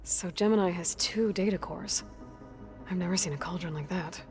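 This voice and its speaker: A woman's voice: lowish voice, moderate pace, darkish tone, faint music behind, lightly acted. A woman voicing a determined young female warrior in a video game, wry and plain-spoken. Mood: wry, curious. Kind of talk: scripted video game dialogue.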